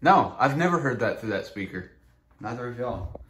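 A man's voice: medium pitch, sing-song, normal speed, fairly bright tone. Casual man muttering over radio noise. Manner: short interjections and slangy muttering. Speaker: weather radio hobbyist filming. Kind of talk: casual off-the-cuff commentary. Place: indoors in a home room. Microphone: handheld camera or phone, behind it. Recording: noisy room with radios playing. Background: weather radio broadcasts.

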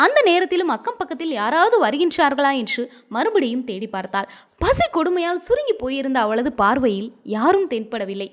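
A woman storyteller narrating a scripted moral tale in a dramatic, expressive manner. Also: high-pitched.

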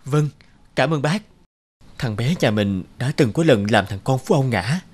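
A man voicing a poor, timid peasant father in an animated folk tale, humble and hesitant as he pleads. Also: medium pitch, a little slow. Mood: anxious, humble.

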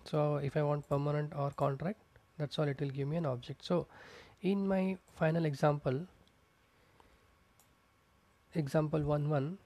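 A man's voice: fairly high pitch, fairly even intonation, moderate pace, pauses often, darkish tone, no echo. A man teaching programming over a screen recording, explaining step by step with fillers and repeated terms. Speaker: programming instructor. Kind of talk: screencast coding tutorial. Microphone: close mic, voice-over on screen recording.

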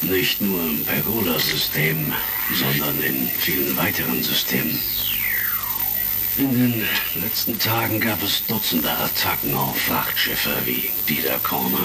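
Very deep-voiced intelligence chief. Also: male voice, lively intonation, measured pace, creaky, over loud music, exaggerated voice. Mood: concerned, official. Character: worried, authoritative male intelligence chief, measured. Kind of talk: German sci-fi audio drama acting. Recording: distant, noisy retro crackle.

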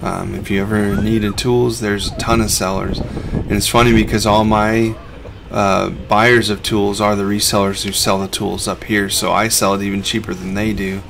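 Middle-aged guy with a gravelly low voice, chill vlog voice-over, just chatting along. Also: level tone, normal speed, hardly any pauses, background noise. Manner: laid-back, conversational, explains as he goes. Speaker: reseller vlog host. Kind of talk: casual voice-over narration.

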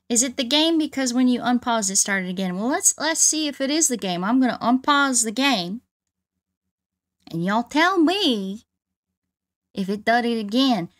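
A woman's voice: on the high side, a bit bright. A woman with a Southern US drawl, chatting casually in folksy cowboy talk as she hosts a game livestream. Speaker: livestreaming VTuber host playing a video game.

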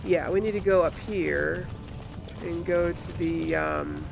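A woman's voice: on the low side, takes it slowly, vocal fry, over music. A woman hosting a let's play, chatting casually and thinking aloud as she comments on her game.

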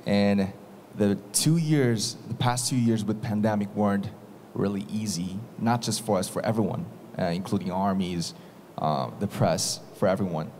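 A young man with a Korean accent speaking English into a handheld mic at a press conference, earnest and halting with fillers. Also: on the low side, a little mellow, a little hiss or hum.

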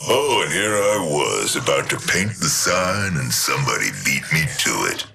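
Mocking killer robot for a game, super gravelly growl, harsh and forceful, sardonic menace. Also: male voice, rumbling low, big pitch swings, moderate pace, full character voice. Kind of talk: video game character lines.

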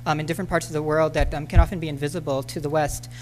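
A woman in her thirties speaking as a panelist at a podium in a lecture hall, halting, with many ums and restarts. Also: low-pitched, steady tone, darkish tone, faint music behind. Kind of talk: conference talk with slides. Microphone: podium microphone.

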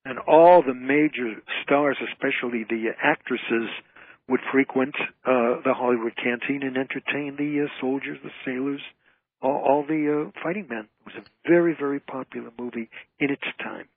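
A man's voice: medium pitch, normal speed, husky, no echo. A man speaking as an interview guest, an entertainer who makes his points emphatically in orderly phrases. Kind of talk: conversational radio interview. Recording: narrow, tinny phone line.

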